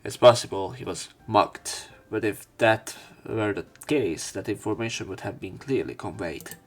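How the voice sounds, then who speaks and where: A man's voice: fairly low pitch, moderate pace. A man reading a visual novel aloud as a let's player, mixing narration with casual, crude commentary. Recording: background game music. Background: game music.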